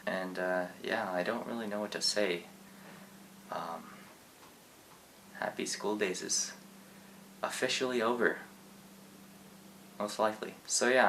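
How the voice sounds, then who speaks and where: Teen boy vlogger from Japan, laid-back rambling storyteller, slightly low voice. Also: fairly even intonation, unhurried, long pauses, pushed and bright, slight background noise, rough recording. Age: teens. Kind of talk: casual storytelling.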